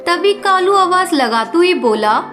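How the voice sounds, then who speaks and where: A woman's voice: extremely high pitch, lively intonation, unhurried, a bit bright. A woman reading scripted narration for a children's cartoon story. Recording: light music behind the voice.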